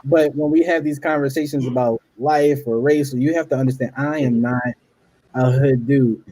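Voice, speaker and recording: Laid-back man with a mellow voice. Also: medium pitch, moderate pace, poor audio. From Philadelphia. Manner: lively storytelling with slang and laughs. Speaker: panel guest. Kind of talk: casual video call chat. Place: home room on a video call.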